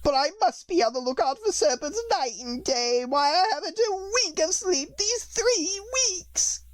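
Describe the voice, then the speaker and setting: A woman's voice: high voice, lively intonation, measured pace, no echo, pitched above the performer's own voice. A woman voicing a frantic, scolding mother pigeon in a storybook, suspicious, exasperated and given to shrieking complaints. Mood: exasperated, sobbing.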